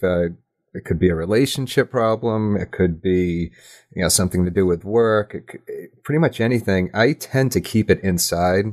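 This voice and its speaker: A man with a low-pitched voice talks as a guest in a conversational interview. He is reflective and thoughtful, often halting and restarting as he works out what he means. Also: a little mellow. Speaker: interview guest, audio drama writer.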